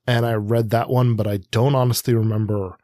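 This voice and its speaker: An American man of medium pitch co-hosts a literary podcast. His delivery is informal and loosely phrased, like a chat about books. He is recorded dry, with little room sound. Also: little pitch movement, a little fast, mellow, dry recording. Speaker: podcast co-host. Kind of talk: casual literary podcast discussion.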